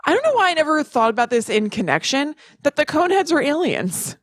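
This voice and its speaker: A woman co-hosting a podcast in casual, comedic chat, with a chatty, animated manner. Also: sits high, brisk, dry recording, studio quality. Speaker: podcast co-host.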